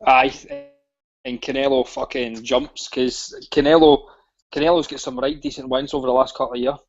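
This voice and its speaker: A man's voice: mid-range voice, flat delivery, moderate pace, highs cut off. A man, arguing bluntly and swearing often in a casual chat. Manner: blunt, swears a lot, argumentative. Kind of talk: casual chat about boxing.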